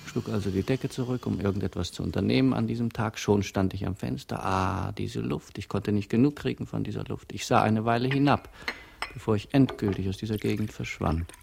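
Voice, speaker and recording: A man's voice: fairly low pitch, fairly quick, vocal fry, background noise. An actor playing a rambling, fussily imprecise man who recounts his ordinary day in a hesitant, self-correcting way in a radio play. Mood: matter-of-fact. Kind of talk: experimental radio play monologue.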